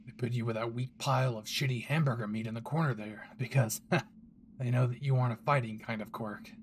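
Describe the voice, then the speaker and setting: A man's voice: mid-range voice, fairly even intonation, brisk, a little hiss or hum, close to an everyday voice. A man playing a brash, possessive young hero, cocky, swearing and intense, in a dramatic audio roleplay spoken to the listener. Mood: cocky, protective. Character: brash, possessive young male hero, gruff and foul-mouthed.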